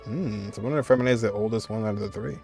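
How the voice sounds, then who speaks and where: Low-voiced man casually commenting on a game. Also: racing, gravelly, a little mellow. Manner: informal, exasperated teasing, thinking aloud. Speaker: gaming streamer. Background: game music.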